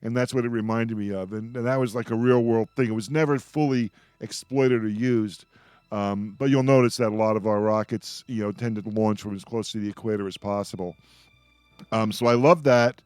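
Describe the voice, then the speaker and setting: A man hosting a radio roundtable, chatting as an enthusiastic fan in an effusive, halting way with repeated words. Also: on the low side, fairly even intonation, a little mellow, clear, resonant tone, dry recording.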